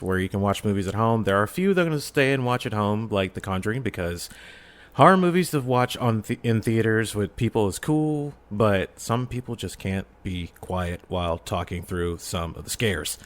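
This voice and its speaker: Male podcast guest, lower register, casual and expressive, acts out his asides. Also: smooth. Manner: animated storytelling, acts out reactions. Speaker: podcast guest, audio drama creator and film reviewer. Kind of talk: casual chat.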